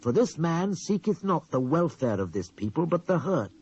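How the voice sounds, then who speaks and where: Dull old tape, guy doing an angry british prince in a bible drama, pitched up, urgent and declaiming. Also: sing-song, moderate pace, darkish tone, breathy edge. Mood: indignant, urgent. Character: indignant male royal princes pleading for punishment. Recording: dull lo-fi old cassette transfer.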